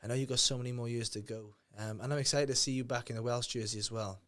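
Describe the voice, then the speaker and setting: A man's voice: medium pitch, fairly even intonation, fast talker, fairly bright tone. A man hosting a podcast, asking questions in a chatty, friendly manner with informal slang. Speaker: podcast host. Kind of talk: casual podcast interview.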